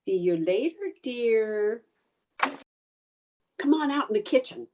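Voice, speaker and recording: A woman's voice: a little high, lively intonation, takes it slowly, noisy background. A woman playing a scatterbrained, stubborn, cheerful housewife in an old-time radio comedy, with theatrical, emphatic delivery. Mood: blithely confident.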